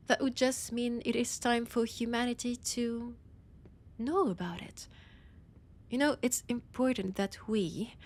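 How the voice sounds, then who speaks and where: A woman playing a condescending, teasing vampire scholar in an audio roleplay, with a French accent and a breathy, husky voice that often drops to a whisper. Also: medium pitch. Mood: lofty, explaining. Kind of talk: scripted audio roleplay addressed to the listener.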